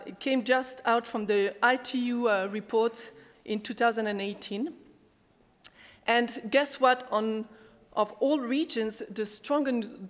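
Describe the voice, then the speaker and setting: A woman moderating a conference round table, speaking in halting with frequent fillers and restarts. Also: a little high. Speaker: panel moderator. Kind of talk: conference talk, prepared remarks. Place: large conference hall. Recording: echoey hall with long reverb.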